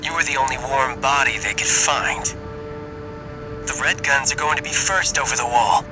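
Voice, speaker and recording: A cocky male rival pilot from a mech game, played by an actor, drawls his taunts slowly. The sneering mid-pitched voice sits over clear music. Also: close to an everyday voice, poor audio. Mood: taunting. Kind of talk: video game voice acting.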